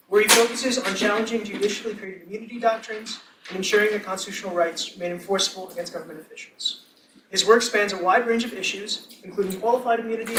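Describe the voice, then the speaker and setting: A man reads formal panelist introductions briskly in a high, bright voice. He sounds far from the microphone in an echoey hall with faint background noise. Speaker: debate director introducing panelists. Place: lecture hall.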